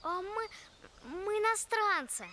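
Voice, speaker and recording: A woman voicing a lively, polite, curious young girl in an animated film, eager and exclamatory. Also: extremely high pitch, takes it slowly, slight background noise, full character voice.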